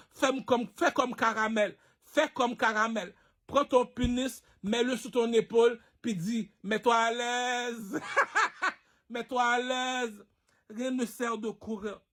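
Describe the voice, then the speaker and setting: A man's voice: sits high, fairly bright tone. A man delivering a rambling, slangy monologue to his followers, boastful and provocative, circling back to his catchphrases. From Haiti. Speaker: online personality addressing followers.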